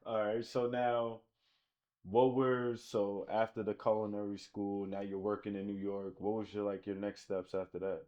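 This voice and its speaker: A man's voice: on the low side, flat delivery, moderate pace, a little mellow, muffled recording. A man hosting a podcast, asking questions in loose casual speech with short affirmations. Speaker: podcast host.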